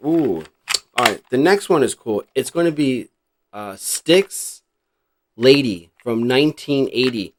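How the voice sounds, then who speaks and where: A man's voice: mid-range voice, expressive, measured pace. Rambling middle-aged American man, chatting casually. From New Jersey, United States. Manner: rambling, reflective, thinking aloud. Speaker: host showing a cassette collection. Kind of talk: casual unscripted vlog chat.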